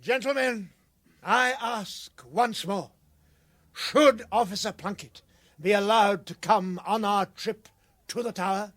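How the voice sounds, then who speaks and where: A man's voice: sits high, takes it slowly, a bit bright, dry recording. A man playing an officious, stern but fair prison governor in a British radio comedy, formal and authoritative. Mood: magnanimous.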